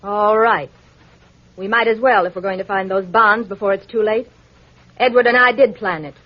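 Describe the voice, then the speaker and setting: An actress playing a scheming, seductive femme fatale in a vintage radio melodrama, theatrical and coaxing. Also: female voice, mid-range voice, normal speed, natural voice. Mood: brazen. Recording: muffled vintage radio recording, faint noise.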